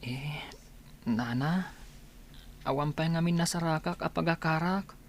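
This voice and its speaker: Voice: mid-range voice, unhurried, lightly acted. A performer with an Ilocano Filipino accent acting a sad, meek, pleading young male student in a radio drama. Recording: clear background ambience noise.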